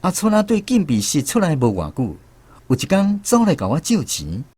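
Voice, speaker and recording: A man's voice: on the high side, huge pitch swings, normal speed, a little mellow, husky, exaggerated voice. A man with a Taiwanese accent playing a wry storyteller who recalls army days in radio drama narration, with music behind. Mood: reflective.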